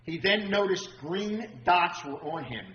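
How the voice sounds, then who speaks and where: A man with an American accent and a husky voice, giving a deliberate, emphatic official statement as at a press briefing. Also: high voice, lively intonation. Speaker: sheriff's official. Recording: dull, muffled audio.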